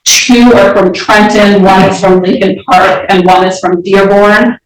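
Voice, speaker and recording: A woman's voice: medium pitch, fairly even intonation, unhurried. Echoey, distant woman arguing in court. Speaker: prosecutor. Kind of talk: courtroom argument to a judge.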